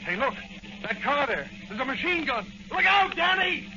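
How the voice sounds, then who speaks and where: An actor playing an excitable, brash young man in a 1940s-style radio crime adventure, exclaiming in slang. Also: very high voice, moderate pace. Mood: excited. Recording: noisy, muffled old-time radio recording.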